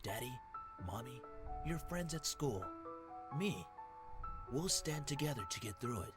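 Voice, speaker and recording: Woman doing a gentle old grandpa. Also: rumbling low, big pitch swings, a little slow, loud music behind the voice, voice pushed down, full character voice. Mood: earnest. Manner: soft reassuring storytelling delivery. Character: kind gentle elderly grandfather, warm and wise.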